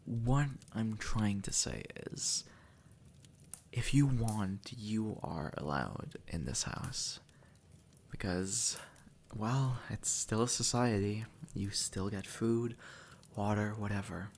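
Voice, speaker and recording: A man playing an easygoing, centuries-old demon who was once human, speaking gently and casually to the listener in an intimate audio roleplay. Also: lowish voice, expressive, takes it slowly, gravelly, slight background noise, heavily acted. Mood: reassuring. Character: easygoing two-century-old male demon, formerly human, reassuring and teasing.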